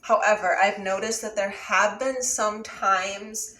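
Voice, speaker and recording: A woman's voice: medium pitch, fairly even intonation, takes it slowly, pushed and bright. Chatty young American woman, echoey room. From Buffalo, USA. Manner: chatty, explains at length with frequent "like". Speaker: vlogger. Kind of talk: casual chat. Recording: echoey room, mic at a distance, faint noise.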